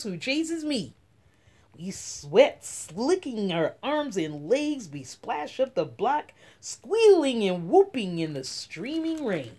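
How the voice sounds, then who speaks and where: A middle-aged woman reading a children's picture book aloud as story time host, with dramatic, drawn-out emphasis. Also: mid-range voice, a little mellow. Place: small room at home.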